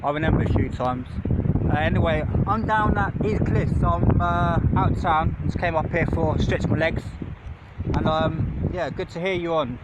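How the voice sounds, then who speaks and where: A man's voice: fairly high pitch, moderate pace. Chatty man on a windy clifftop. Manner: rambling, relaxed, with frequent fillers. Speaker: radio hobbyist. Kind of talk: casual walkie-talkie chat. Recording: muffled, heavy wind noise.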